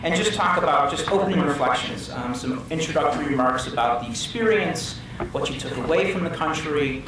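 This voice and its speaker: A man's voice: on the high side, animated, fairly quick, a bit bright. A man in his thirties moderating a panel discussion, upbeat and friendly, at a conference room table mic. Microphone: table mic on stand. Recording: echoey room, mic at a distance, noisy.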